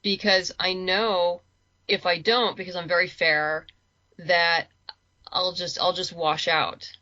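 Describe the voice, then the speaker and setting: A woman talking casually as an interview guest, chatty and halting, often restarting her sentences. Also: lowish voice, steady tone, sharp tone, no echo, lo-fi sound. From lives in Los Angeles. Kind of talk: casual conversational chat.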